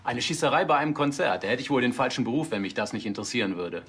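A man plays an eager local reporter in a TV crime comedy. He talks fast at a middling pitch, polite and lively in intonation. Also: slight background noise, close to an everyday voice. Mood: keen. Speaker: newspaper journalist.

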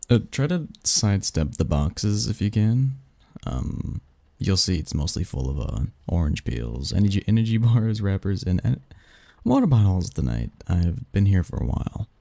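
A man's voice: sits low, very animated, creaky, dry recording, exaggerated voice. A man acting a snarky, cynical young research assistant in a scripted ASMR roleplay, with a wry, pedantic manner. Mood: casual, wry. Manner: wry, pedantic, halting mumbles and soft chuckles.

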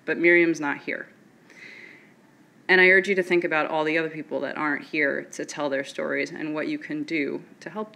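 A young woman telling a personal story from a podium on stage, earnest and steady. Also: sits low, creaky.

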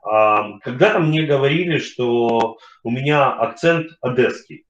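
A man's voice: mid-range voice, dull audio, a little hiss or hum. Hesitant man on a roomy video call. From Kharkiv, Ukraine. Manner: hesitant, many fillers and pauses. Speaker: webinar speaker, company CEO. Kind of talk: casual webinar talk and Q&A. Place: home room, indoors. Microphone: webcam on video call.

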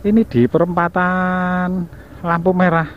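A man's voice: high voice, little pitch movement, measured pace. A man with an East Javanese accent hosting a casual riding vlog, chatty and joking at his own expense as he rides through town to a cafe. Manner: chatty, joking, laughs at himself. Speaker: motovlogger host. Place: riding a motorbike on city streets, then a cafe. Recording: dull sound with loud traffic noise.

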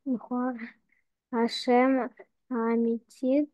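A woman's voice: fairly high pitch, flat delivery, moderate pace, a little mellow, no room sound. A woman student in an online lesson, reading Hebrew aloud and answering her teacher's questions. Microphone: computer mic on a video call. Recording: dry, dull lo-fi call audio.

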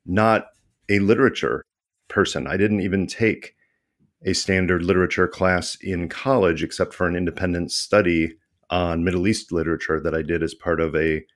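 A man's voice: deep voice, fairly even intonation, normal speed. A man hosting a podcast interview, enthusiastic and self-deprecating, with frequent restarts. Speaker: podcast host. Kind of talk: conversational interview.

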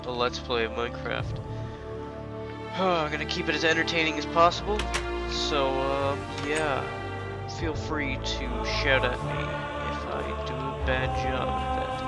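A young man with a stuffy, congested voice chats casually through a gaming session, his pitch sitting in an ordinary middle range. He rambles on and then bursts out in sudden excitement. Music plays clearly behind him. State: congested from illness. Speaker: gaming channel host. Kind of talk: casual let's play commentary. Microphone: headset.

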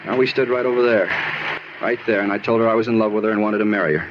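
An American actor playing a moody, self-justifying murder suspect in a 1950s radio crime drama, insistent and matter-of-fact. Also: male voice, fairly low pitch, fast talker, lightly acted. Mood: defensive. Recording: dull, noisy old radio recording.

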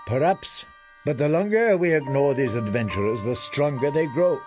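A man voicing a conciliatory, deliberate older lord regent in a fantasy audiobook. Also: medium pitch, lively intonation, music in the background, natural voice. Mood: conciliatory, cautious. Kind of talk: audiobook character voice.

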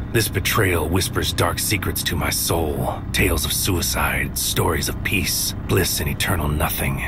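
A deep-voiced, tormented, brooding prophet of doom. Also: male voice. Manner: solemn, intense, incantatory, often dropping to whispers. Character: tormented brooding man wielding godlike destructive power. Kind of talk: dark poetic first-person monologue in sci-fi audio drama. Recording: loud music under the voice. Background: loud music bed.

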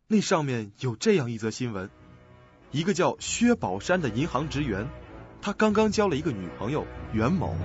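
Casting: male actor as uneasy young amateur investigator, radio play, highly expressive pitch, music underneath. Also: dull audio. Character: earnest, uneasy young man investigating a mystery. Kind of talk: Chinese suspense radio drama acting.

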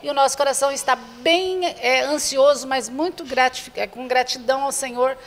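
An older Brazilian woman with a fairly high voice speaks as an interview guest. She is earnest and repeats her points, addressing viewers directly. The handheld mic picks up a noticeably reverberant room. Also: normal speed. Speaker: association president, interview guest. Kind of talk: spoken interview inviting the public to a charity event. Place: indoor room with bare walls. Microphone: handheld reporter's microphone held before her.

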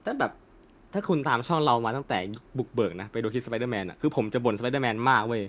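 Young male co-host for casual anime chat, rapid delivery, mid-range pitch, slangy and opinionated with some swearing. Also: lively intonation, a bit bright. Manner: colloquial, peppered with swearing and slang. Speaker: co-host of an anime discussion channel.

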